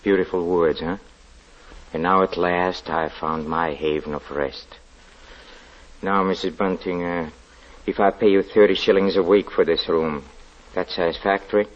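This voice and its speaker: An actor playing a stern, religious male lodger who speaks in halting, hesitant phrases in a vintage radio drama. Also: low voice, fairly even intonation. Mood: brooding. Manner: halting, hesitant speech with sudden sharp outbursts. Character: stern pious male lodger, brooding and sinister. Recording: dull lo-fi old radio recording.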